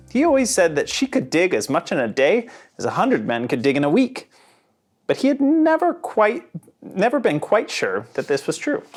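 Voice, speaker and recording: A man reading a children's picture book aloud in an expressive storytelling manner. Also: fairly high pitch. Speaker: storybook reader.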